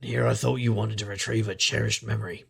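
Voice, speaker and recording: An actor playing a sardonic, world-weary private investigator, narrating and talking in a dry deadpan noir style. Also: male voice, fairly low pitch, a little fast, vocal fry, husky, full character voice. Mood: dry, knowing. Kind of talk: noir audio drama, first-person narration and dialogue.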